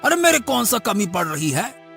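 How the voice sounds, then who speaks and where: Man playing whining, high-voiced wily villager. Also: very animated, moderate pace, creaky, pushed and bright, faint music behind, heavily acted. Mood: indignant pleading. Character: indignant, wily village man protesting his innocence.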